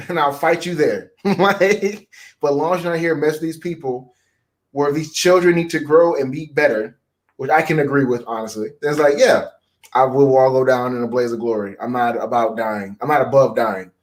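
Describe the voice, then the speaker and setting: A man's voice: mid-range voice, normal speed. A man chatting casually as a co-host, talking in an emphatic, slangy way. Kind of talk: casual chat.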